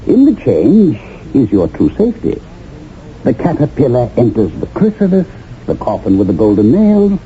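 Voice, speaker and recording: An actor playing a commanding, persuasive mastermind in a radio drama, speaking in a theatrical, oracular and insistent way. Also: male voice, medium pitch. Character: commanding, persuasive mastermind of a shadowy identity-changing company. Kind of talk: 1970s radio drama acting. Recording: tinny, band-limited old radio, faint noise.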